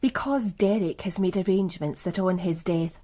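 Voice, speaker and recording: A woman's voice: lowish voice, moderate pace, warm tone, lightly acted. An actress playing a cool, calculating and seductive businesswoman in a radio crime drama, poised, guarded and knowing. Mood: cool, defiant. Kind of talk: naturalistic radio drama dialogue.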